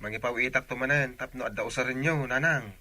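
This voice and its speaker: A man's voice: medium pitch, fast talker, pushed and bright, no room sound. An actor playing a devoted young man who works away from his family, in radio drama style with a Filipino Ilocano accent. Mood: fond, reassuring. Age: young adult. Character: devoted young man working away, attentive sweetheart and son.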